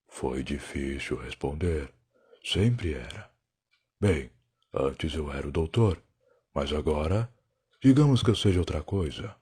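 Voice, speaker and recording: A Brazilian man playing a weary, defiant alien time-traveler in an audio drama, husky and gravelly, with a brooding, sarcastic, theatrical delivery. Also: a bass voice, lively intonation, measured pace, long pauses, warm tone, full character voice. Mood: somber. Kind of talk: dramatic first-person audio drama narration.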